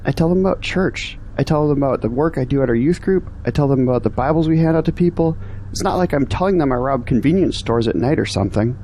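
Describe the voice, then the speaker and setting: A man's voice: mid-range voice, expressive, fast talker, mellow, a little hiss or hum, natural voice, rough recording. A man playing an earnest, discouraged young graduate in an audio drama, complaining about his troubles. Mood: earnest, puzzled. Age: young adult.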